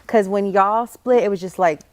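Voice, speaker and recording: A woman's voice: mid-range voice, moderate pace, no room sound. 30s woman podcast host, laid back, lots of "like", dry close mic. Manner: relaxed, filler-heavy, says "like" often. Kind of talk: casual chat.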